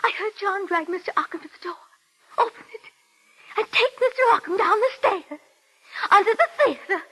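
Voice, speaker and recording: A woman voicing a delicate, plaintive living puppet with halting, solemn delivery in old radio drama style. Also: very high-pitched, lively intonation, pushed and bright, full character voice, low-quality recording. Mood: distressed, haunted. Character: carved female puppet come to life, delicate, plaintive, truth-telling. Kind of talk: 1940s-50s radio drama acting. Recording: old radio broadcast, music behind.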